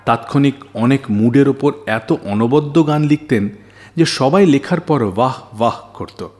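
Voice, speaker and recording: A man's voice: medium pitch, unhurried, a little mellow. A man hosting a radio show, telling scripted stories in a dramatic way and putting questions to listeners.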